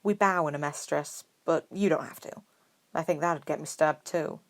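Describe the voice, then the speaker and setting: A woman's voice: low-pitched, moderate pace. Woman as a prickly, plain-spoken young boy. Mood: casual, modest. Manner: grumbling, guarded, plain-spoken. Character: casual, prickly, modest eleven-year-old boy.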